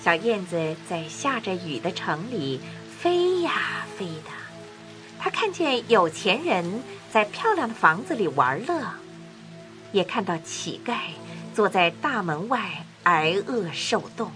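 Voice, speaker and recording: A female storyteller reads a children's fairy tale in a medium-pitched voice. Her intonation swings expressively as she narrates. Music plays clearly beneath her. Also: big pitch swings, slow pace.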